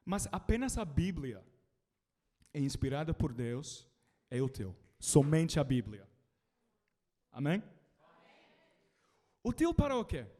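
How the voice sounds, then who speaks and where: A man's voice: fairly high pitch, takes it slowly, long pauses. A British man, a native English speaker, preaching a sermon in learner Portuguese and calling out to the congregation. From Britain. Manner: animated, calls out to the congregation.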